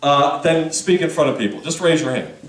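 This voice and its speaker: A man's voice: a little high, lively intonation, brisk, roomy sound, far from the mic, low-quality recording. A man preaching a sermon to his congregation in a chatty, conversational way, with asides and questions to the room. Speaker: pastor. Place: church sanctuary.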